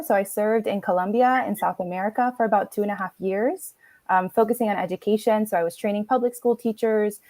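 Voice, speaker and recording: A woman's voice: medium pitch, no echo. Friendly woman in her 30s telling her backstory, lots of ums, dry close sound. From Philippines, raised in Florida. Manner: friendly, upbeat, frequent ums. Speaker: nonprofit program director. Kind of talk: casual video-call interview.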